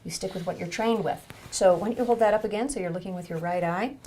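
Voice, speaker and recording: A woman's voice: mid-range voice, fast, mellow, slight background noise, rough recording. A woman clinician giving an instructional demonstration of an eye exam, encouraging the patient as she explains each step.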